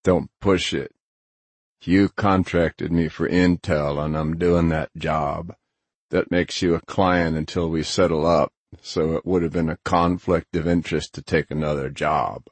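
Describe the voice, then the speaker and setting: A man voicing a gruff, sardonic, middle-aged male hacker in an audiobook, with a rumbling, blunt, needling delivery. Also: steady tone, measured pace, no echo, full character voice.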